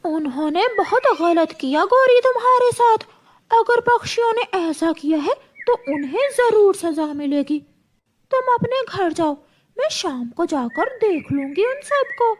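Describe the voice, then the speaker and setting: Woman voicing a very high, stern cartoon eagle. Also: animated, a little slow. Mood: concerned, stern. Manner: stern, questioning, authoritative. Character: big bossy female eagle, stern protective friend. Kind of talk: children's cartoon story dub.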